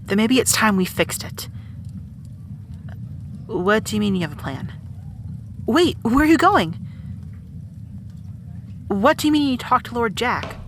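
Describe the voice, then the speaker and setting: A woman's voice: a little high, animated, measured pace, long pauses, a bit bright, a little hiss or hum. A woman playing an earnest, determined young heroine in an audio drama, speaking with emotion. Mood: urgent. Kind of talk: amateur audio drama acting.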